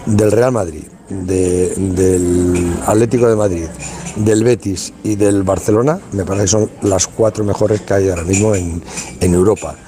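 A man's voice: low voice, fairly even intonation, normal speed. A man from Spain, organizer of a youth football tournament, talking in a casual radio interview, colloquial and full of restarts and tag questions.

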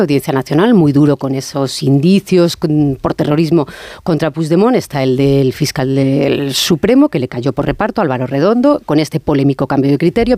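A woman's voice: sits low, mellow, no room sound. Female panel journalist, dry studio sound. Detailed, probing questioning. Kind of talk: live radio interview questioning.

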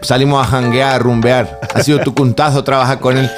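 A man's voice: medium pitch, little pitch movement, fairly quick, close to an everyday voice. A man playing a brash, boastful, lewd Latin reggaeton singer in a radio comedy sketch, with a put-on Caribbean Spanish accent and slangy, rhyming talk. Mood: bragging. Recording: loud music bed behind the voice.

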